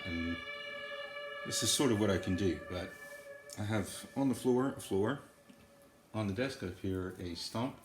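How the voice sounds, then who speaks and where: A man giving a casual, unscripted demo of guitar effects gear, talking in halting fragments as he explains his setup. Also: deep voice. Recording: echoey room, iPad recording. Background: processed guitar effects sounds.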